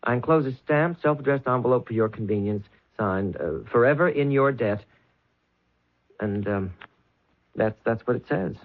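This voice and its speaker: An actor playing a meek, devoted young office clerk in an old-time radio drama, speaking deferentially and hesitantly. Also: male voice, mid-range voice, moderate pace, natural voice. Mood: awed, timid. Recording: muffled vintage 1970s radio recording.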